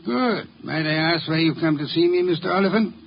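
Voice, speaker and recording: An actor playing a huge, lazy, stubborn genius detective in a 1950s radio drama, speaking in a pompous, precise, imperious way. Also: male voice, fairly high pitch, a little fast, gravelly, slight background noise. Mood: dry, aloof. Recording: muffled vintage radio recording.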